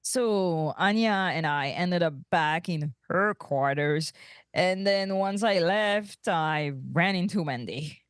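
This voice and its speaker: A woman's voice: fairly low pitch, slow, smooth, dry recording, studio quality. A woman reading the part of an eager, boastful, easily defensive young male starship officer in a comedic table read. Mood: smug.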